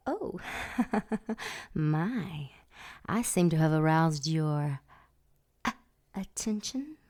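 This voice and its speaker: Low, velvety, slow-talking femme fatale. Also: female voice, expressive, darkish tone, heavily acted. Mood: seductive. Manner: purring, playful seduction. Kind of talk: audio drama acting.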